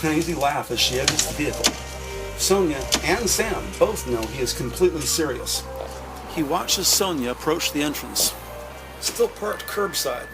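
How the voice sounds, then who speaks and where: A man with a slightly high voice delivers scripted scene narration for a radio drama. Music plays clearly underneath his descriptive reading. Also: poor audio.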